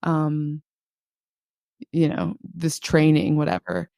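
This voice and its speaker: A woman with a low voice, a podcast guest talking casually and reflectively, thinking aloud with frequent filler words. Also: lively intonation, takes it slowly, creaky, dark tone, dry recording, studio quality.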